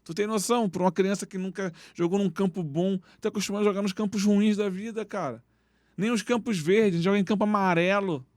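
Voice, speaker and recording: A man tells stories in lively street slang, his voice sitting a bit high. The sound is dry, close and plainly miked. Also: fairly quick, no echo. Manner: animated storytelling with street slang. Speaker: football coach and guest. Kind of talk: casual interview talk. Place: podcast studio.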